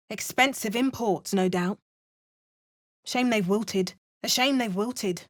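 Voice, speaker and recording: A dry, wry female adventurer, voiced by a woman muttering short remarks in a put-on Cockney accent. Her pitch sits mid-range for a woman, and she sounds like a fantasy video game hero. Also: slow pace, natural voice. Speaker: player character.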